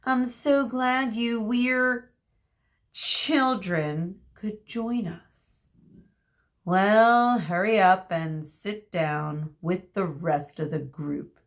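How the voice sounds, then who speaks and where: A woman's voice: mid-range voice, extremely slow. A woman voicing a sour, sarcastic, impatient female schoolteacher in a children's storybook. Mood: sarcastic impatience.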